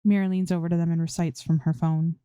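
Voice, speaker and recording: Female narrator for story reading, low and soft-edged, dry close recording. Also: little pitch movement, fast, smooth, no room sound. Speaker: fan fiction reader.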